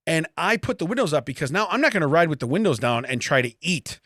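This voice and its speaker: A man's voice: on the high side, sing-song, fast, a bit bright, no echo, clean studio sound. A man telling funny everyday stories in casual banter, mock-indignant and acting out what people said. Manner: jokey, mock-indignant, acts out quotes. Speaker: podcast co-host. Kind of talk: casual comic storytelling and banter.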